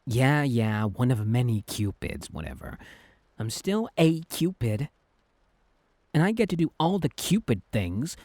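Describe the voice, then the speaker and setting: A young male Cupid, acted by a man, talks like an exasperated friend who is secretly smitten. His delivery is conversational and emphatic, with lively swings in pitch. It is a dry recording in the style of an ASMR boyfriend roleplay. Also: no echo. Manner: conversational, exasperated, emphatic, hesitant when flustered.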